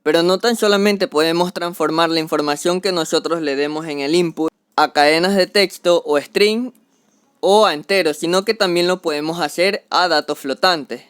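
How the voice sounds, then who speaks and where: A young man walks through a programming lesson one step at a time, narrating each action as he does it. His voice is a little high, smooth and clear, recorded dry and close on a desk microphone. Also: normal speed, fairly bright tone, no room sound. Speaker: programming tutor. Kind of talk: screen-recorded coding tutorial.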